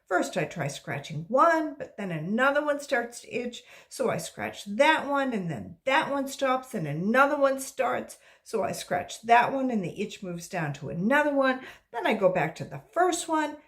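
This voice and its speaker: Woman acting a whiny, itchy little schoolgirl, high-pitched. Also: very animated, normal speed, hardly any pauses. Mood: exasperated.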